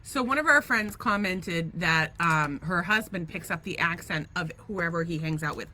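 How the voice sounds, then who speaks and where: Woman podcast co-host, bright and animated, rambling comic stories with faint room noise behind. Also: lowish voice, normal speed. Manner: animated storyteller, laughs often, swears freely. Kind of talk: casual chat.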